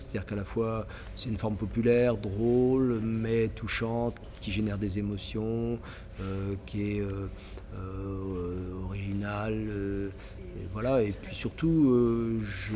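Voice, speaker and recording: A man's voice: fairly low pitch, mellow, slight background noise. A man with a head cold, an author, actor and stage director, talking hesitantly in an interview. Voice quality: hesitant, many fillers and restarts.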